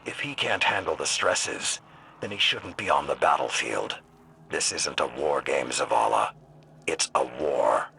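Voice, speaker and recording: A man playing a stern, battle-hardened older warlord in a video game, gravelly and commanding, speaking in blunt, deliberate lines. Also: rumbling low, lively intonation, bright, forceful tone, slight background noise, full character voice. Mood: stern, reproachful. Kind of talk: dramatic video game voice acting.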